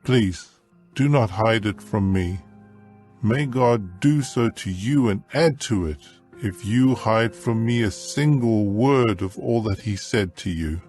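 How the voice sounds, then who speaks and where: A man's voice: fairly low pitch, takes it slowly, a little mellow, soft background music. An actor playing an elderly, fatherly priest, grave in manner, in a dramatized scripture audiobook. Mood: solemn urging.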